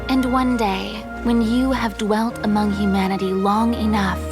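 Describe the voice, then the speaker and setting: An actress voicing a wise mentor who speaks in a solemn, measured way, with game music behind. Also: female voice, mid-range voice, fairly even intonation, close to an everyday voice. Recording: game audio with background music.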